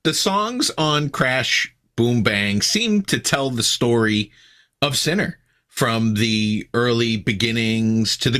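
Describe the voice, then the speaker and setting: Male podcast host, bright lively interviewer, friendly. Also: medium pitch. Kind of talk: interview questions.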